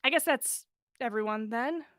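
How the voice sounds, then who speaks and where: Female reader as kindly young wizard gardener in a storybook tale: affectionate, befuddled, pitched high and lively. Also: normal speed, no echo, studio quality, lightly acted. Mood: wistful. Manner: affectionate, mildly befuddled, self-deprecating. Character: kindly young male wizard botanist, fondly chatting to pet.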